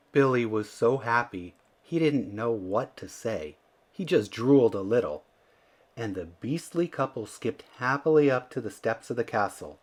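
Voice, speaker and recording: A man narrating a children's storybook aloud in an expressive, theatrical way, leaning into the emphatic words. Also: medium pitch. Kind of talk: animated read-aloud narration.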